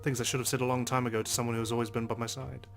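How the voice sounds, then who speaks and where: Male let's player, mid-pitched, dramatic narration of on-screen text with sweary reactions, music clearly behind. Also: level tone, racing. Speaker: let's play host. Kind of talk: dramatic reading aloud of game text, with reactions.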